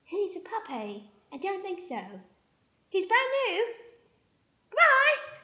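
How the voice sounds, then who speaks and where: A woman's voice: very high-pitched, huge pitch swings, slow pace, sharp tone, full character voice. A woman playing a cheery, doting next-door neighbour with a gushing, sing-song delivery in an improvised radio play. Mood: chirpy, brisk. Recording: roomy, echoey voice recording.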